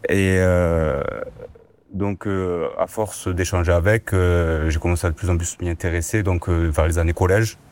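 A twenty-year-old man answers interview questions with a very deep voice. He speaks earnestly and keeps filling pauses with hesitations. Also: level tone, a little mellow. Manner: many fillers, earnest. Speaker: student guest. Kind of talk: casual interview.

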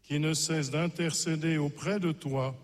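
A man, a priest, reciting a Eucharistic prayer in a flat, measured way at Mass. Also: on the high side. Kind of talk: liturgical prayer. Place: church. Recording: long church reverb.

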